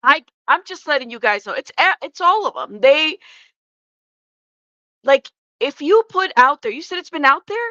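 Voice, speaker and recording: A woman's voice: high-pitched, expressive, normal speed, pushed and bright, no room sound, lo-fi sound. A congested woman hosting a livestream, chatting casually and bluntly with her viewers and swearing freely. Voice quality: congested, stuffed-up nose. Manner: informal, blunt, sweary, addresses chat directly. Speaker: livestream host. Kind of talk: casual live commentary to viewers.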